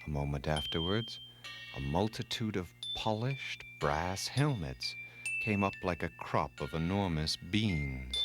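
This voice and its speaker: A man narrating a scripted myth for children in a formal, measured, dramatic storytelling manner. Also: low-pitched.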